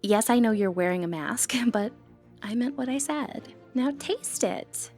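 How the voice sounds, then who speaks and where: Woman performing a confident noble-born lady thief, fantasy ASMR roleplay, highish voice, playful, genteel, flirtatious, music underneath. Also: expressive, unhurried, darkish tone. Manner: playful, teasing, genteel old-fashioned phrasing.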